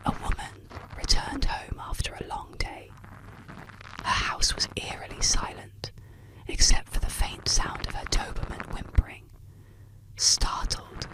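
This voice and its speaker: An ASMR storyteller narrating scripted tales in a breathy, unvoiced whisper, with soft, deliberate phrasing. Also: rough recording.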